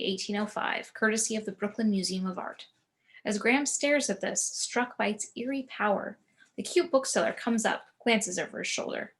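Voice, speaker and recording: A woman reading a screenplay's action descriptions as narrator, in a measured, descriptive way. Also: mid-range voice. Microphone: video call.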